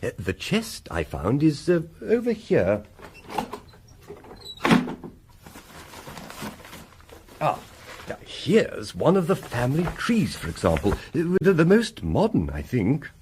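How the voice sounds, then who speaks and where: Genial English rector, huskily animated. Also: male voice, fairly high pitch, unhurried, husky, exaggerated voice. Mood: eager, chatty. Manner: effusive, wordy, genteel. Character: genial, loquacious Victorian country rector, keen antiquarian. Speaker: actor in an audio drama. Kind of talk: period radio drama acting.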